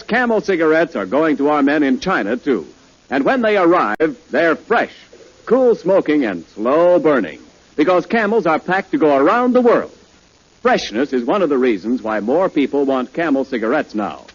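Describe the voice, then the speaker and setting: A man reading a scripted 1940s radio advertisement as the announcer. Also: fairly high pitch. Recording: muffled 1940s radio recording.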